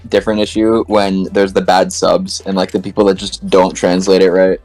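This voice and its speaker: A man's voice: low voice, little pitch movement, normal speed, darkish tone, faint music behind. A young man chatting casually and wryly in a group podcast.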